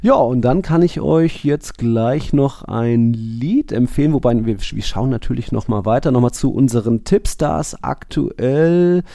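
A man's voice: medium pitch, lively intonation, measured pace, creaky, hardly any pauses, mellow, no echo. A man hosting a football podcast, talking casually and informally in a chatty way. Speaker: podcast host.

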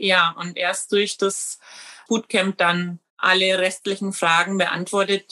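Woman, bright tense tone, matter-of-fact, casual. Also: medium pitch. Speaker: course graduate. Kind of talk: casual interview answer.

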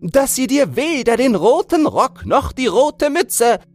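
A man's voice: extremely high pitch, huge pitch swings, a little slow, soft background music, voice pushed up, heavily acted. A man voicing nagging, scolding village sisters-in-law in a fairy-tale audiobook, insistent and threatening.

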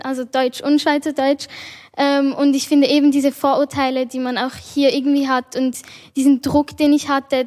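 A woman's voice: high-pitched, darkish tone. A young woman whose first language is Italian speaks from the audience of a panel discussion, hesitant and full of fillers. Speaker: audience member. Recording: reverberant hall.

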